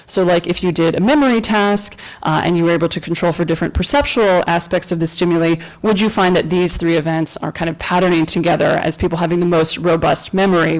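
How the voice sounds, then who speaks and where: Woman presenter in a lecture room, lots of ums, thinking out loud. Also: lowish voice, low-quality recording. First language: English. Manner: hesitant, thoughtful, many fillers. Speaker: conference presenter. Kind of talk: academic talk and Q&A.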